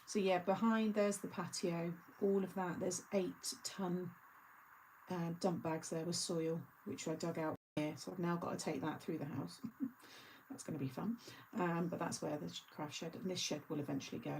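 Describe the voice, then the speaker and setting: Woman, middle-aged, rambling craft host, lowish voice, some fry. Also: steady tone, moderate pace, a little mellow, a little hiss or hum, low-quality recording. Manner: chatty, laughs often, rambling asides. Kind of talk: casual live chat.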